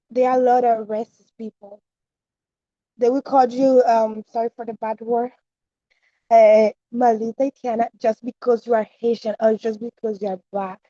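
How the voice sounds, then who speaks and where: A teenage girl from the Dominican Republic speaks earnestly and steadily about her own experience. Her voice sits a little high but sounds warm and mellow. The sound is dull and lo-fi, like an online call. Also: no room sound. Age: teens. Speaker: student. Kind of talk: class discussion answer. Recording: muffled lo-fi online call audio.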